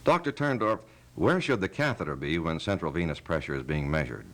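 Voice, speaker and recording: A man with a deep, low-pitched voice serves as the interviewer. He puts scripted medical questions in a formal way, with precise and careful wording. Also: animated, brisk.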